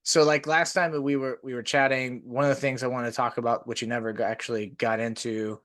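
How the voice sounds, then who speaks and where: A man's voice: medium pitch, level tone, fairly quick, a bit bright. Chatty man hosting a podcast. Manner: rambling, chatty, many "like" fillers. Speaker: podcast host. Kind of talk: casual conversation.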